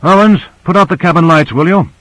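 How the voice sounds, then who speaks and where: A man's voice: mid-range voice, normal speed, a bit bright, dry recording, close to an everyday voice, low-quality recording. A man voicing a knowing, assured male scientist who explains things in an audiobook. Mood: brisk.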